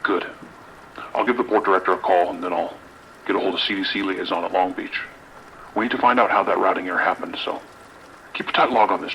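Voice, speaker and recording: Low-voiced American lieutenant male actor, forceful, clipped, dryly sardonic, naturalistic audio drama, faint noise behind. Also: poor audio. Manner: clipped, commanding, dry.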